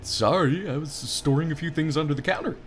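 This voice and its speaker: A man's voice: on the high side, fairly quick, soft background music, natural voice. A man voicing a polite, earnest and gloomy private of the town guard in a game, deferential and ominous. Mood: apologetic.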